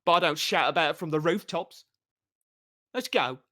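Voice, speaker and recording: Reader voicing a flamboyant male bard; raised, lively, theatrical. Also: medium pitch, moderate pace, pitched above the performer's own voice. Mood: excitable. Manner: theatrical, excitable.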